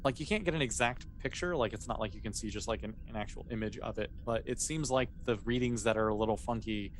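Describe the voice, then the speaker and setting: A man running a tabletop sci-fi game as game master, improvising narration and explaining in an informal, halting way with self-corrections. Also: on the low side. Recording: clearly noisy recording.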